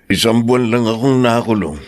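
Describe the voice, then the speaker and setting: A man's voice: mid-range voice, a little fast, faint music behind, close to an everyday voice. An actor playing a troubled, guilt-ridden middle-aged father and ex-convict in an emotional radio drama. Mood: remorseful, troubled. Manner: emotional, brooding or scolding.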